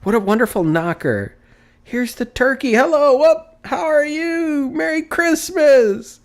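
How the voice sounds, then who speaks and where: An overjoyed old man from a storybook, acted out by a male reader, bursting with glee. His voice leaps high above a normal speaking pitch, and he gushes in exuberant exclamations. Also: animated, measured pace, heavily acted. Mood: delighted, exclaiming. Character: old man Scrooge, reformed, giddy and overjoyed.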